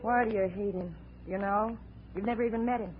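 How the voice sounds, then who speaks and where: A woman's voice: mid-range voice, brisk, natural voice. An actress playing a fiercely loyal, defiant woman in a 1950s radio drama, with an intense, melodramatic delivery. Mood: pained, challenging. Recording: scratchy, narrow-band 1950s radio recording.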